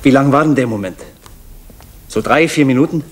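Actor as quick-talking, probing police interrogator. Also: male voice, medium pitch, lightly acted. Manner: formal, pressing. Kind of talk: East German radio drama acting. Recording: old radio recording, faint noise.

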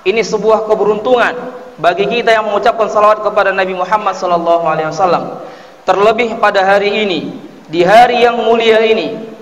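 Man, high pitch, formal sermon oratory, mosque pulpit microphone, long hall reverb. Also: a bit bright. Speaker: preacher delivering Friday sermon. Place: tiled mosque interior, at the pulpit. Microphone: mounted microphone at the pulpit. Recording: echoey hall, distant amplified voice.

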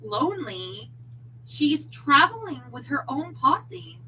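Woman playing a bright-voiced, emotional jealous girlfriend. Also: sits high, sing-song, slow pace, slight background noise, voice pushed up, heavily acted, rough recording. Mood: jealous, scolding. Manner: huffy, emotional, pleading. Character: jealous, huffy young woman, anxious lover.

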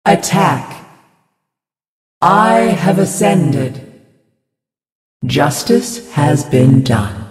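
A woman voicing a serene, righteous female twin spirit healer in a video game, speaking in solemn, measured pronouncements through an ethereal reverb. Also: low-pitched, a little mellow, full character voice. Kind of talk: video game character voice lines. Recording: echoey distant reverberant sound.

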